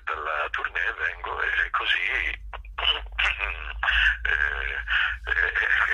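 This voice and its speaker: An older man answering interview questions reflectively as a theatre actor and director, with halting phrasing and repeated words. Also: low-pitched, sharp tone, slightly rough, poor audio.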